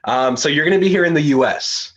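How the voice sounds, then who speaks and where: A man's voice: fairly high pitch, fast, fairly bright tone, lo-fi sound. A man, a pageant analyst who hosts a live online interview, talking in an enthusiastic, supportive way and often repeating words. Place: United States.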